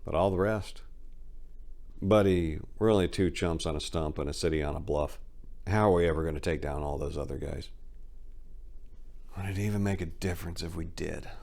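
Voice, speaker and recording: A man's voice: deep voice, steady tone, moderate pace, gravelly, long pauses, a little mellow. A man playing a weary, doubtful, grieving older hefty crime boss who pleads and questions with emotion in an audiobook crime drama.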